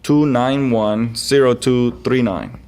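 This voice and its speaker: A man's voice: mid-range voice, slow pace, darkish tone. Brief: male surgeon on a radio interview, Spanish speaker, explanatory delivery. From Puerto Rico. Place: radio studio.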